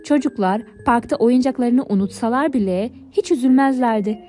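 A woman narrating a scripted fairy tale for children in a clear storybook manner. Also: on the high side, normal speed, warm tone. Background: background music.

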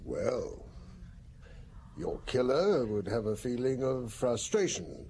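An actor playing a learned, wry psychiatrist in a radio drama, speaking in a considered, professorial way. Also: male voice, mid-range voice, big pitch swings, slow pace, warm tone, a little hiss or hum. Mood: considered, expounding.

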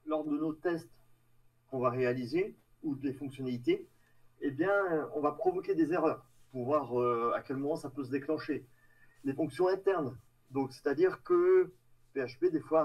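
A man giving a live technical presentation in a halting, hesitant way, trailing off between phrases. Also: on the high side, lo-fi sound. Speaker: tech meetup presenter. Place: online video meeting with screen share. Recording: distant mic on online call.

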